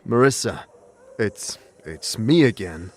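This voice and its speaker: Male narrator, second-person storytelling, calm, slow, lowish voice. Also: slight background noise. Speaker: narrator and lead.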